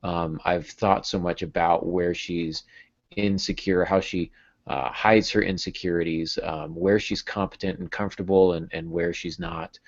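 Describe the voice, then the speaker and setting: A man in his thirties with a very low voice talks casually as a podcast host. The sound is slightly dull, like a video call through earbuds. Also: fairly even intonation, a little slow. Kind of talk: casual podcast discussion on writing. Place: small home room, video call. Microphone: earbud headset.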